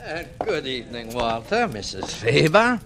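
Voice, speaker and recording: An actor playing a timid, puzzled café owner in a 1940s radio drama, speaking politely and hesitantly. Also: male voice, medium pitch, sing-song, normal speed, over a noisy crowd. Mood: polite puzzlement. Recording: vintage radio recording, music behind.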